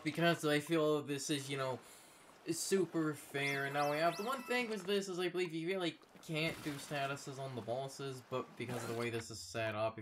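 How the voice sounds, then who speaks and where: A man co-hosting a gaming video, giving opinionated commentary in a casual, halting, rambling way. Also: medium pitch, background noise. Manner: rambling, halting, circles back to his point.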